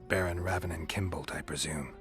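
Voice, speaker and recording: A man's voice: sits low, steady tone, brisk, music in the background, natural voice. A man playing a stoic, world-weary mercenary monster hunter in a fantasy video game, speaking in a terse, dry, understated way. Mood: dry, probing. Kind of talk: dramatic fantasy game acting.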